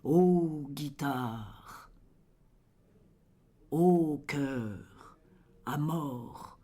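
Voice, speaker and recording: A person reciting poetry in a solemn, measured and dramatic way, letting phrase endings fall into a hush. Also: medium pitch. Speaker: poetry reader.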